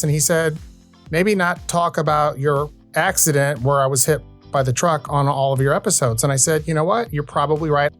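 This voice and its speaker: A man in his fifties hosting a podcast, talking earnestly and at length in a conversational interview style. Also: a little high. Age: 50s. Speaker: podcast host.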